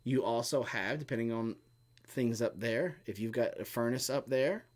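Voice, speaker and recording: A man's voice: medium pitch, moderate pace. A middle-aged man co-hosting a homestead live stream, chatting and answering questions in a rambling, explanatory way with lots of fillers. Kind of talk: casual chat and Q&A.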